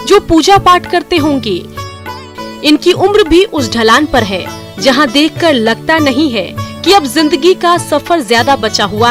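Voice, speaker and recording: A woman reading a scripted human-interest news voice-over. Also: sits high. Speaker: news story narrator. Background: clear background music.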